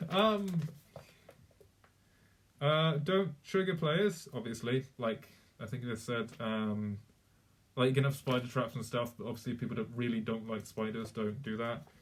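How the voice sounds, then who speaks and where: A man's voice: mid-range voice, steady tone, unhurried. A young man chatting casually on a live stream, rambling, swearing freely and laughing often. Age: young adult.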